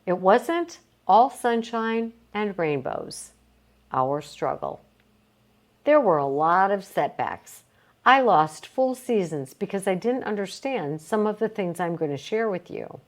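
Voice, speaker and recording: Slow, expressive low-voiced woman reading aloud. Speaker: audiobook reader. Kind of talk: scripted narration, reading a motivational self-help book aloud.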